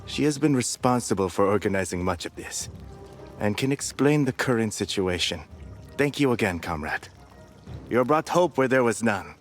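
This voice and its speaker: An actor playing a tired, grateful refugee helper in a game, sober and heartfelt. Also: male voice, fairly low pitch, expressive, moderate pace, soft background music, lightly acted. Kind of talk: video game cutscene acting.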